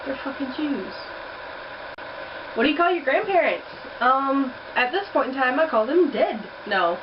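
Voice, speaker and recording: A woman's voice: high-pitched, animated, a little slow. Chatty young woman, distant muffled heater hum. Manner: chatty and blunt, swears casually. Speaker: vlogger. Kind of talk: casual accent challenge vlog. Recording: distant muffled home recording with heater noise.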